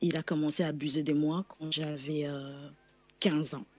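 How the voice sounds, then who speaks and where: A woman in her thirties from the Democratic Republic of the Congo, calling in to a radio show to give a personal testimony in a soft, halting way with frequent pauses. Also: deep voice, level tone, breathy edge. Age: 30s. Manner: halting, soft-spoken, pauses often with fillers. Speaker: caller.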